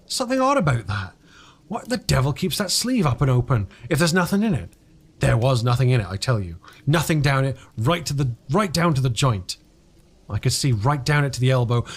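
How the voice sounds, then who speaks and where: A man voicing a frightened, insistent man recounting an uncanny encounter in an emphatic, halting way, as in a dramatised audiobook. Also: medium pitch, sing-song, breathy edge. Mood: agitated, baffled.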